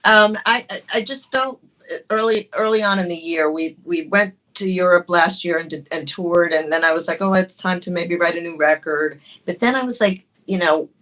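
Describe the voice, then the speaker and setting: Woman guest, bright and forceful tone, passionate, stumbles and restarts. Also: fairly low pitch, poor audio. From Ecuador. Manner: passionate, halting with many restarts. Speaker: guest musician. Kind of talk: casual interview chat.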